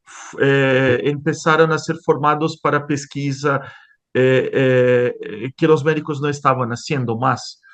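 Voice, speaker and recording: A man's voice: medium pitch, fairly even intonation, a little slow, a bit bright. A man from Brazil with a Brazilian Portuguese accent, speaking Spanish as a second language in an interview, hesitant, with many restarts and fillers. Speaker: interviewed scientist. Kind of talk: conversational interview.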